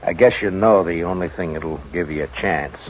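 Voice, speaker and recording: An actor playing a steady, weary frontier marshal in a 1950s radio drama, talking in a low-key, terse, dry way. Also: male voice, moderate pace, lightly acted. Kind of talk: 1950s radio drama acting with first-person narration. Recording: dull 1950s radio broadcast, faint hiss.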